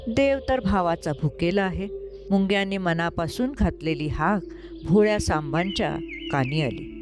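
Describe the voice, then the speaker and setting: A woman's voice: on the low side, normal speed. A woman narrating a scripted story expressively as a storyteller. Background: clear music.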